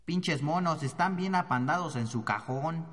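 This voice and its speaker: A man's voice: a little high, normal speed, close to an everyday voice. A man playing a mean, mocking, foul-mouthed convict with a Mexican accent, scornful and taunting, in a radio drama. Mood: scornful, irritated. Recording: noisy, long echoing reverb.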